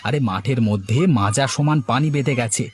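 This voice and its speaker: A man's voice: medium pitch, brisk, darkish tone, husky, lightly acted. A man voicing an eager, upbeat younger village neighbour in a cartoon story, urging and chiding with questions.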